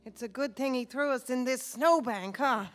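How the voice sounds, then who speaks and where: A woman playing a gentle, naive elderly guardian angel in a radio play, polite, fussy and hesitant. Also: high voice, normal speed, music in the background, natural voice. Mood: patient, explaining. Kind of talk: old-time radio drama acting.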